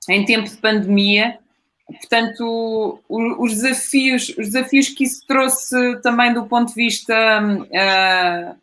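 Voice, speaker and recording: A woman asks casual interview questions, feeling her way through the wording in a halting manner. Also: mid-range voice, fairly bright tone.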